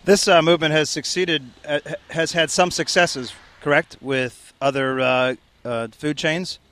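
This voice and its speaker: A man's voice: fairly high pitch, steady tone, measured pace, a bit bright. A man interviewing people outdoors at a protest, asking long, probing questions. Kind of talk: street interview.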